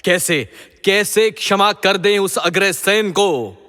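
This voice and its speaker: A man's voice: high-pitched, pushed and bright, heavily acted. An actor playing a proud, hot-tempered king of the gods in a mythological audio drama, with theatrical delivery. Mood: indignant. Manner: haughty, theatrical, slow declaiming. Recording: long hall-like reverb.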